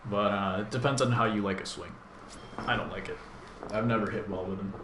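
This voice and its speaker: A man with a deep voice hosting a gaming channel, thinking aloud in a laid-back, rambling way with plenty of fillers while he plays. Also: fairly even intonation, fairly quick. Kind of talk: casual live gameplay commentary. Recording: echoey room with background noise.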